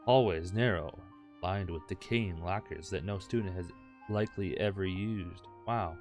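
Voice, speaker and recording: A man's voice: sits low, normal speed. A man hosting a gaming video, reading a visual novel aloud with crude, jokey asides. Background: game music.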